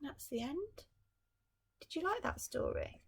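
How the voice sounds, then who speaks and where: A woman teacher reading a storybook aloud to children, adding gentle, musing asides to her young listeners. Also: very high-pitched, very animated, unhurried. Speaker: primary school teacher.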